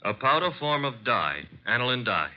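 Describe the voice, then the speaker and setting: A man's voice: mid-range voice, sing-song, moderate pace, close to an everyday voice. Man playing wisecracking American sidekick, muffled old radio. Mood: sharp, businesslike. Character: brisk, wisecracking male detective's assistant. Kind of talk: old-time American radio drama acting. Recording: dull vintage radio broadcast recording.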